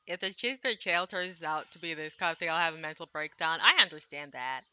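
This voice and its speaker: Bright-voiced, lowish woman streaming a game. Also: a little fast, smooth, dry recording. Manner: casual, slangy asides. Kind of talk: live visual novel playthrough, reading translated dialogue aloud.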